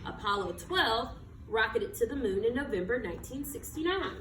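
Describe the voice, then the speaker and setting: Very bright, animated woman reading aloud, echoey. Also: on the high side, moderate pace. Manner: emphatic, dramatic phrasing with questions to listeners. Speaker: read-aloud storyteller. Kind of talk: expressive children's picture book read-aloud. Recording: roomy echo, distant mic, background noise.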